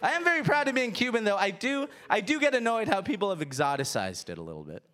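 A man doing stand-up before a live theater crowd, his voice high and animated. He talks in a loose, storytelling way. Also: fast talker. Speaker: stand-up comedian. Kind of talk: stand-up comedy set.

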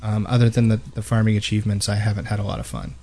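A man's voice: on the low side, little pitch movement, racing, mellow, a little hiss or hum. Man chatting casually, rambling podcast host. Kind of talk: casual gaming podcast chat. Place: home studio with unpadded walls. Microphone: boom-mounted microphone close in front of mouth.

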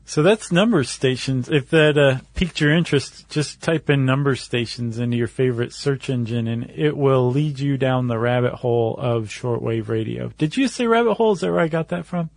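A man's voice: mid-range voice, moderate pace, creaky, few pauses, a little mellow, no room sound. An American man co-hosting a podcast, explaining things in a casual, conversational way. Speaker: podcast co-host.

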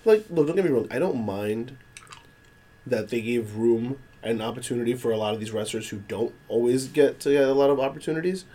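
A man co-hosting a casual podcast while under the weather, his voice a little hoarse, talking in an animated, opinionated way. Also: mid-range voice, brisk. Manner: animated, opinionated wrestling talk. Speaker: podcast co-host.

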